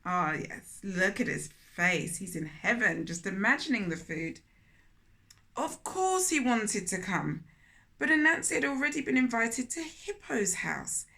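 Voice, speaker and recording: A woman's voice: mid-range voice, vocal fry, pushed and bright. A woman hosting a children's read-aloud, telling the story expressively with chatty asides and questions to listeners.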